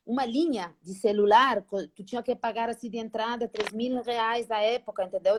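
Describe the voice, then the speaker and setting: A middle-aged woman from Spain with a Spanish accent, a guest on a video-call interview, telling animated stories and acting out old conversations. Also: medium pitch. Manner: animated, acts out past dialogues, frequent "né?" tags. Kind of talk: casual storytelling interview.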